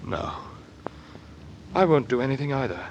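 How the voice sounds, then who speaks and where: A man's voice: mid-range voice, very animated, normal speed, creaky. Volatile, bitter young man, vintage radio. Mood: bitter, defiant. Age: young adult. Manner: intense, clipped, swinging from muttered to explosive. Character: John Burns, bitter, hot-tempered young man hiding a killing. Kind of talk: mid-century American radio drama acting. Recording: old radio recording, faint noise.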